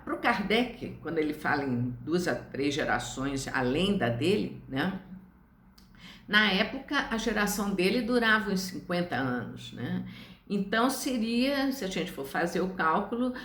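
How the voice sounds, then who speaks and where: Slow-speaking older Brazilian woman, echoey room. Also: medium pitch, big pitch swings, a bit bright. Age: 70s. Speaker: spiritist study lecturer. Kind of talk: informal religious lecture to online viewers.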